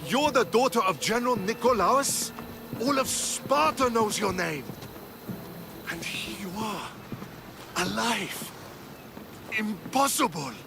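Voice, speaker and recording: An earnest male Spartan soldier from a video game. He is principled and measured, with a widely swinging pitch, and music plays behind him. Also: animated, gravelly, full character voice. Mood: astonished. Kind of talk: video game dramatic dialogue.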